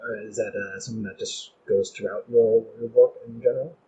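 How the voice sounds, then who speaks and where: Mellow, lower-pitched man interviewing on dull audio. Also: fairly even intonation, brisk. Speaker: podcast interviewer. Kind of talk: conversational interview. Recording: dull, noisy recording with room ambience.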